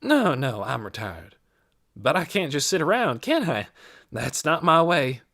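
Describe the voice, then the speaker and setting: A narrator playing a brisk, preachy older busybody woman. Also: mid-range voice, very animated, fairly bright tone, no room sound, clean studio sound, voice pushed up, exaggerated voice. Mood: brisk, proud. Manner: preachy, emphatic, folksy. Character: brisk, cheerful, self-righteous older woman, stern town busybody. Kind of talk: audiobook character voice.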